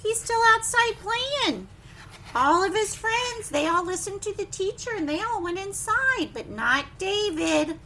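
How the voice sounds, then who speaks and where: A woman leading preschool story time, reading a picture book with simple, expressive asides and questions to young children. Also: very high voice, unhurried, slight background noise.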